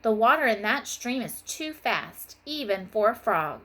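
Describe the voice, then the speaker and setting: A woman reading a storybook aloud as an excitable young schoolgirl who is devoted to her pet. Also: on the high side, unhurried, heavily acted. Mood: excited.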